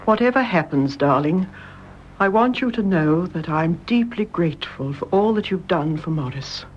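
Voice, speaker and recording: A British actress playing a kindly, dignified older mother-in-law in a radio drama, measured and genteel. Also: lowish voice, lively intonation, highs cut off, a little hiss or hum, natural voice. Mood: grave, sympathetic. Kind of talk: BBC radio drama acting.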